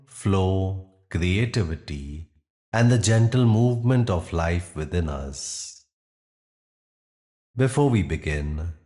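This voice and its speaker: Male meditation guide: deep register, very unhurried, gentle and soothing, measured pauses. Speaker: yoga nidra meditation guide.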